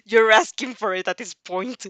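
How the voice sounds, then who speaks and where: A woman's voice: on the high side, moderate pace, fairly bright tone, no echo, lightly acted. A woman voicing an arrogant, bitter teenage schoolboy who sneers and boasts in an amateur audio fanfic. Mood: exploding. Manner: sneering, boastful, foul-mouthed.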